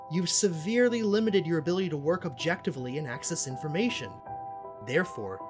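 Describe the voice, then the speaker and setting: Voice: mid-range voice, close to an everyday voice. An actor as a pompous, theatrical cult expert. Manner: pompous, dramatic, deliberate pauses. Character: self-important, theatrical cult expert and investigator. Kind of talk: audio drama dialogue. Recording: music playing under the voice.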